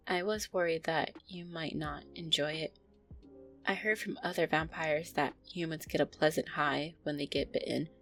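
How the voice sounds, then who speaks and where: A woman playing a gentle, anxious, affectionate vampire girlfriend in an intimate roleplay audio, speaking softly, tenderly and hesitantly. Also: sits low. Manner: soft, tender, hesitant, sighing delivery.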